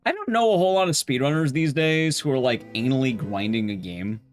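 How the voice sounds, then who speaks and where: A man's voice: medium pitch, expressive, brisk, faint music behind. A man streaming a game, chatting casually in a rambling, blunt, conversational way with his viewers. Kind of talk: casual live-stream chat while gaming.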